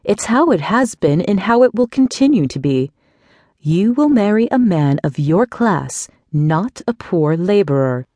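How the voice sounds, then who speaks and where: A woman voicing a haughty, domineering older aunt who speaks slowly with scorn. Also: on the low side, big pitch swings, warm tone, no echo. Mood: lecturing. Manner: slow, disdainful, seething.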